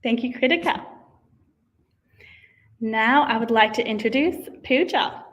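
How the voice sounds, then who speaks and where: A young woman hosts a live online event over a video call. Her voice sits a little high, and her delivery is upbeat and formal, like a practiced presenter. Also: unhurried, a bit bright. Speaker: host. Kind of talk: scripted livestream hosting.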